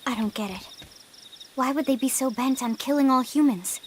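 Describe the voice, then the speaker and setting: An actress playing a young, earnest squad member in a video game, speaking in an informal way. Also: female voice, sits high, normal speed, slight background noise, lightly acted. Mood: puzzled, dismayed. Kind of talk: video game cutscene acting.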